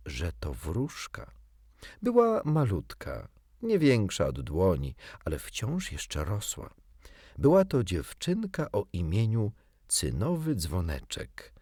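A man narrating a children's story as an audiobook reader, in an expressive, theatrical way. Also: deep voice, breathy edge, dry recording, clean studio sound.

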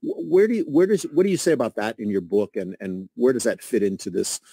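A man hosting a radio interview in a studio, measured and thoughtful with frequent fillers. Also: on the low side, very animated, mellow, slightly rough, dry recording. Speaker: radio host. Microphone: studio microphone with headphones.

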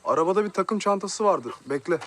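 An actor playing an eager, helpful young man in a TV drama who talks quickly and tries to calm people down. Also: high voice, background noise.

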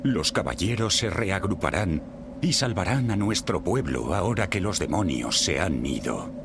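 A man's voice: low-pitched, expressive, moderate pace, noisy background. An actor playing a kindly, stately middle-aged king in a video game, with a Castilian Spanish accent. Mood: solemn, caring. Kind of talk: video game cutscene acting. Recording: behind loud game music.

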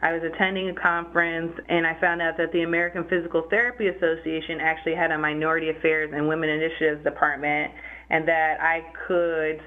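A young adult woman, a physical therapist, answering interview questions in a measured, explanatory way. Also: low voice. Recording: phone-like narrow sound.

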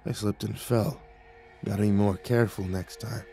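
A man's voice: lowish voice, mellow, faint music behind. A man voicing a big, dim young male security guard in an audiobook, dazed and mumbling. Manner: slow, faint, mumbling.

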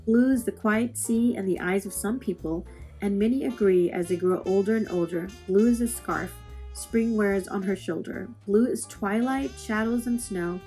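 Woman, mid-pitched voice, children's poetry read-aloud, expressive, deliberate phrasing, soft guitar music behind. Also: low-quality recording. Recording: music playing under the voice. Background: plucked guitar music.

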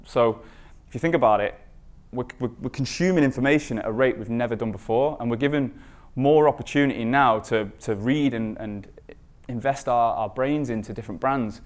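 A man's voice: mid-range voice, fairly quick. A man presenting as a conference speaker, informal and emphatic, often posing rhetorical questions. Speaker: conference speaker, recruitment marketing expert.

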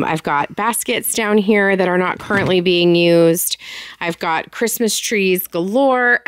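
A woman's voice: lowish voice, clean tone, no echo, clean studio sound. Chatty woman giving a basement tour. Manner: chatty, filler-heavy, enthusiastic. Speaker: home organizing vlogger. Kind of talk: casual walkthrough chat. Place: home basement storage room.

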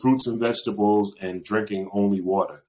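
A man co-hosting a podcast, telling about his diet in slow, unhurried casual chat. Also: on the low side, steady tone, dark tone, rough recording. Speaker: podcast co-host.

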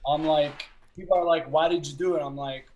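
A young man who grew up speaking Albanian, a filmmaker talking about his work as a guest on a video call, telling stories in a relaxed way with pauses to think. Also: fairly high pitch, dull audio, slight background noise. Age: young adult. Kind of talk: casual interview chat. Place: small room at home.

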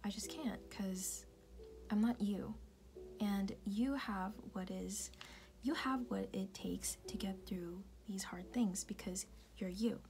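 A woman speaking in a soft, breathy, often unvoiced whisper, tenderly reassuring the listener in an intimate ASMR roleplay. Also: medium pitch, slow pace. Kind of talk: ASMR personal-attention roleplay, comforting affirmations. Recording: music playing behind the voice. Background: music.

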